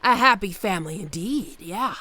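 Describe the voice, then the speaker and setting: A woman's voice: mid-range voice, lively intonation, moderate pace, pushed and bright. A woman playing an affectionate, wry bodyguard wife in an intimate, soothing ASMR roleplay. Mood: wry, uneasy. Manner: soothing, playful, storytelling. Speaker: voice actor in an audio roleplay. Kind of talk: ASMR roleplay, intimate one-sided dialogue.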